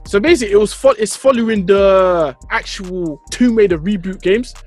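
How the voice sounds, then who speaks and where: Male co-host, notably high pitch, informal slangy banter with repeated phrases. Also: moderate pace, a little mellow, soft background music. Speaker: podcast co-host. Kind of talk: casual chat among friends.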